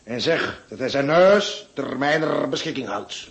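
A man's voice: medium pitch, lively intonation, measured pace, gravelly. Hot-tempered, vengeful Ottoman diplomat. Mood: stubborn, determined. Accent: Flemish. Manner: emphatic, stubborn, oath-swearing. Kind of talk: Flemish radio play acting. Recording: studio radio play.

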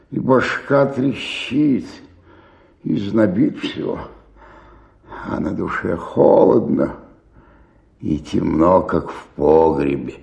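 A man's voice: fairly low pitch, creaky, dark tone, slightly rough, dull audio, full character voice. An older man acting a weary, hungover sixty-eight-year-old stage actor in a radio drama, groaning and muttering in self-pity. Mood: groaning, self-reproachful. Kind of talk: theatrical radio drama monologue.

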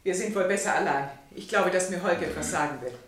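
An actress playing a proud, bitter forsaken wife in a German radio drama, husky-voiced, austere and deliberate. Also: female voice, fairly low pitch, noisy background, lightly acted. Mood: bitter, controlled.

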